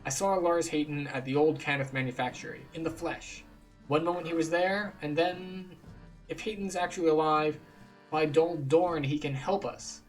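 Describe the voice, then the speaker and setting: A man's voice: a little high, steady tone, moderate pace, faint music behind, pitched above the performer's own voice, lightly acted, low-quality recording. A man voicing an urgent game quest-giver who pleads for help finding a lost ally.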